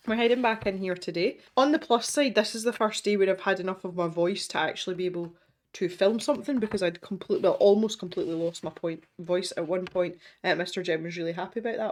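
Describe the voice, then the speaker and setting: A woman host, congested from a cold, chatting casually while she colours. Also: mid-range voice. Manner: chatty, self-deprecating, thinks aloud. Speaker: art channel host. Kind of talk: casual colouring tutorial chat.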